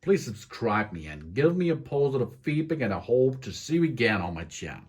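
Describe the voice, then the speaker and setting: A man giving a hesitant tech tutorial, pausing often with ums and restarts. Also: mid-range voice, big pitch swings, slightly rough. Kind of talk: tutorial explanation. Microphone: condenser microphone into audio interface.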